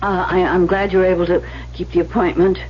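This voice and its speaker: An actress playing an anxious, soft-hearted receptionist with a hesitant, pleading manner in an old-time radio drama. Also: female voice, fairly low pitch, level tone, quick pace, close to an everyday voice. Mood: polite, nervous. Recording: muffled, hissy old radio broadcast recording.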